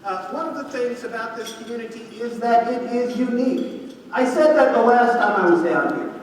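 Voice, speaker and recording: Loud, confident man speaking in an echoey hall. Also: extremely high pitch, animated, moderate pace, slight background noise. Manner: confident, boastful, jokey lecturing. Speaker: guest speaker, economist. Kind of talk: banquet keynote speech. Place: large banquet hall. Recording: echoey hall, mic from far off.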